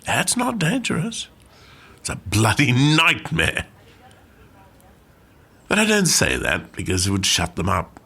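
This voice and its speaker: An actor in a radio play monologue as an old, plainspoken war veteran and glider pilot, reminiscing conversationally with dry understatement. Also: male voice, medium pitch, wildly swooping pitch, a little slow, creaky, long pauses, pushed and bright, slightly rough, heavily acted. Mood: sardonic. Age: older.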